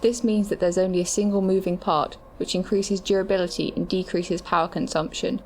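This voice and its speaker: A woman's voice: on the low side, fairly even intonation, fairly quick, a little mellow, a little hiss or hum, natural voice. A woman playing a matter-of-fact medical adviser, reading scripted explanations in an audio drama. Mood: informative.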